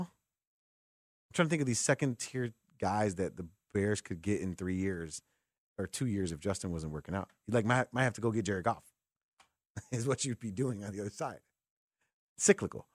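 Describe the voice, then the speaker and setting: A man's voice: lowish voice, no room sound. Dry-sounding man hosting sports talk radio. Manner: conversational, thinks aloud, asks rhetorical questions. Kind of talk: sports call-in talk, opinionated commentary. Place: radio studio. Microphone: close studio microphone.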